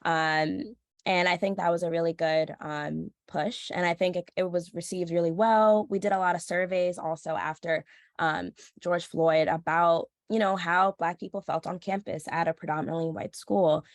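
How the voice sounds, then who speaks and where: A young woman with a stuffy, nasal voice from a cold, pitched a little low for a woman. She speaks earnestly with plenty of ums and likes, and the sound is close and dry. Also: steady tone, normal speed, no room sound. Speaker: panelist, social news reporter. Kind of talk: panel discussion answer.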